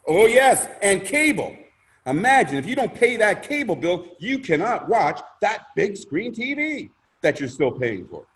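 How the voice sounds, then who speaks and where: A male presenter with a high voice delivers a motivational sales pitch. He is emphatic and theatrical, building his points through repetition and questions put to his listeners. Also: sing-song, a little slow, fairly bright tone. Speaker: presenter pitching a crowdfunding scheme.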